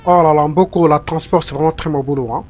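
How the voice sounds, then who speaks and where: High-pitched, flustered Rango, cartoon animal done by a man. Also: fairly even intonation, fast, close to an everyday voice. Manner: exclaiming, stammering, repeats words. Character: Rango, small excitable nervous male animal newcomer. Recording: muffled lo-fi audio, music behind.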